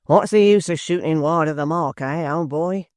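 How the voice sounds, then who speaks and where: A rough, blunt young brawler who barrels straight to the point, voiced by a male narrator. His voice comes up louder and higher than the narration, with a gravelly grain. The recording is close and dry. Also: normal speed, no room sound, natural voice. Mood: rowdy.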